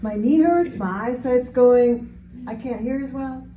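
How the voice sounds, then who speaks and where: A woman's voice: fairly high pitch, measured pace, dull audio. A woman performing scripted reader's theater as one of the readers in a church hall. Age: 50s. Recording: echoey hall from far off, noisy, background sound.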